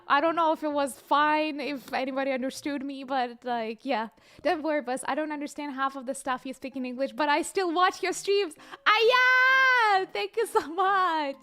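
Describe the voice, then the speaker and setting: A young woman, a livestreamer chatting casually and reading viewer comments aloud, excitable and hesitant in her English. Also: high-pitched. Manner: chatty, excitable, bursts of squeals and laughter; hesitant non-native English with many fillers.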